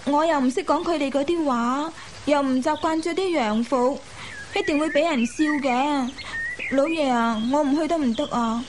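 A woman's voice: high-pitched, moderate pace, natural voice. A woman acting a naive, playful young concubine in a Cantonese radio drama, coy and wheedling, with a Hong Kong accent. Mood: timid, reluctant, then pouting, pleading. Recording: hissy radio drama with birdsong. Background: chirping birds.

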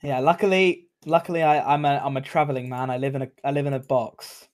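A man's voice: mid-range voice, steady tone, fast, darkish tone. A man in his thirties with a Kent English accent, joking and laughing often while co-commentating a game stream from a home gaming setup. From England.

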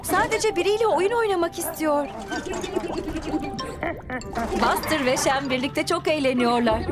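A woman with a high voice narrates a cartoon for young children. She is expressive and full of exclamations, talking straight to the characters and the little viewers. Loud music plays behind her. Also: unhurried.

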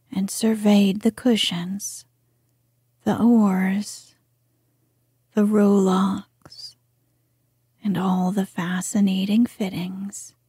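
A woman reads a classic storybook in a hushed, very breathy whisper. Her pace is very slow and measured, and her tone is dark and mellow. The sound is close and dry. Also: medium pitch, no echo. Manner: soft whisper, gentle and measured.

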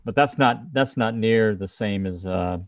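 A lower-voiced man rambles through technical explanations on a video call. The sound is close and dry, with no room around it. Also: measured pace, no room sound. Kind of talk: casual video call chat.

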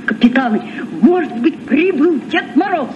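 An actor playing an eager, curious boy captain in a children's radio play, with excited exclamations. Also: very high-pitched, moderate pace. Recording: muffled, noisy old radio recording.

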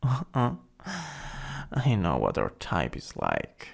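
Casting: male actor as possessive, teasing supervillain for ASMR roleplay; Italian accent, breathy, husky, hugely varied intonation. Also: sits low, huge pitch swings, heavily acted. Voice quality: breathy, often whispering. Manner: slow, teasing, lingering delivery.

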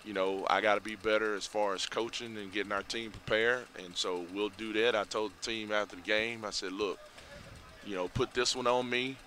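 Low-voiced coach. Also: male voice, steady tone, sharp tone, a little hiss or hum. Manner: measured, reflective, many fillers. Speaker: head football coach. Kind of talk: postgame interview. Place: outdoors by a school building at night. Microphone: headset mic.

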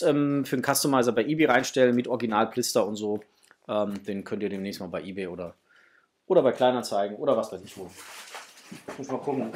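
A man's voice: on the high side, breathy edge. A middle-aged man gives a casual unboxing chat to viewers, enthusiastic and full of superlatives. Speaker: toy collector vlogger, host. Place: small indoor home room with framed posters.

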